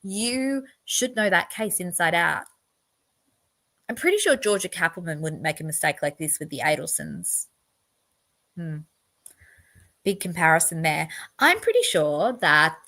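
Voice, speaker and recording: A woman's voice: lowish voice, pushed and bright. A woman hosting a true crime livestream, talking earnestly and at length with many fillers and restarts. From outside the US. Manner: earnest, rambling, emphatic. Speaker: true crime channel host. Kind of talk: solo livestream commentary.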